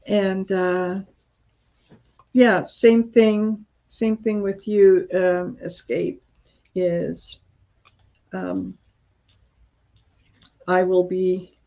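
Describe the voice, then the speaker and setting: A woman from Croatia hosting a casual live stream, talking in a rambling, halting way with many fillers and restarts. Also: on the low side, little pitch movement, creaky, mellow, highs cut off.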